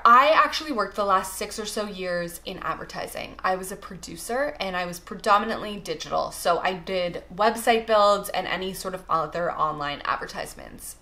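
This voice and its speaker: Bright-voiced young Canadian woman, chatty. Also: fairly low pitch. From Toronto, Canada. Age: young adult. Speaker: vlogger introducing herself. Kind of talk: casual chat to camera, get-to-know-me answers.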